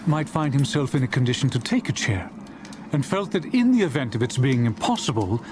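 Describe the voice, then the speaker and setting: A man narrating a dramatized classic story in a theatrical, suspenseful, deliberate way. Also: mid-range voice, background noise.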